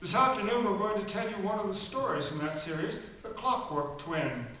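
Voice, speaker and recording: A man's voice: high-pitched, animated, normal speed, sharp tone. An actor playing a folksy children's author who narrates his story to kids on stage with playful, mock-serious asides. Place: live stage performance in a hall. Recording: echoey hall from far off, faint noise.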